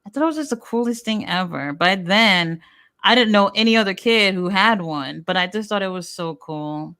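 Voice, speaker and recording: A woman's voice: mid-range voice, expressive, moderate pace, pushed and bright, clear, resonant tone. A woman in her thirties, born in America with Haitian Creole as her first language, chatting candidly as a show host. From United States. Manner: candid, chatty storytelling with frequent fillers. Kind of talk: casual chat.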